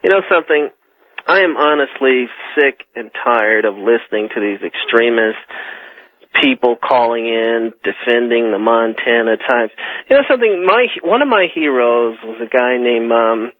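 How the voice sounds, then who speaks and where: A man's voice: medium pitch, animated, normal speed, no echo. A man with an American accent calling in to a radio show, giving his opinions in an emphatic, indignant manner. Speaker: phone-in caller. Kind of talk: opinionated call-in radio talk. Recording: tinny phone line on 1990s radio.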